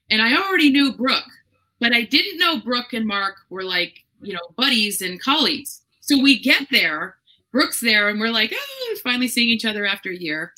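A woman's voice: mid-range voice, bright, forceful tone, poor audio. Chatty, enthusiastic woman interviewer. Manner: chatty and enthusiastic, full of fillers and storytelling. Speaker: interviewer and show host. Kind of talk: casual conversational interview.

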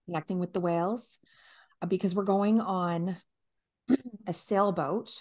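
A woman's voice: lowish voice, fairly even intonation, unhurried, a little mellow. A woman co-hosting a casual conversation, hesitant and searching for her words. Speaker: retreat co-host.

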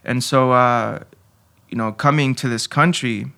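A young man from Honduras, talking openly as a podcast guest. His voice has a gravelly edge and sits at a middle pitch. He speaks candidly, searching for words and restarting often. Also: steady tone, a little slow, bright, forceful tone. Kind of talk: conversational interview.

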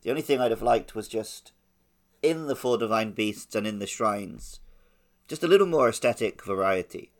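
A man with a heavily creaky, lowish voice chats as a podcast co-host. His delivery is conversational and informal, the sort used for talking games over with friends. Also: lively intonation, moderate pace. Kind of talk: casual gaming podcast discussion.

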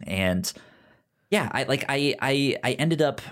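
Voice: mid-range voice, lively intonation, takes it slowly. A podcast co-host of unclear gender, chatting casually about fiction and often stammering over repeated words and syllables. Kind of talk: casual comic and film discussion.

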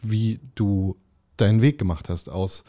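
Mellow-voiced male podcast host. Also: on the low side, steady tone, unhurried. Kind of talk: casual podcast interview.

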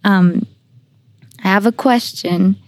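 A woman's voice: mid-range voice, takes it slowly, no room sound. A young adult woman interviewing casually on a podcast, with tentative, informal and hesitant phrasing.

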